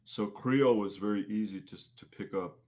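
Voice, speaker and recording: A man with a low-pitched voice talks casually as a guest in an interview. He speaks in a halting way, often starting a thought and then rewording it as he goes. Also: a little mellow. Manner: halting, rephrases mid-thought. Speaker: interview guest, singer-musician. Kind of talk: casual conversational interview answers.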